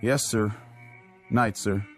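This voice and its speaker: A man's voice: lowish voice, steady tone, slow pace, over loud music. An actor playing a nervous young army private who rambles about his fears. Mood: anxious. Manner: anxious, rambling.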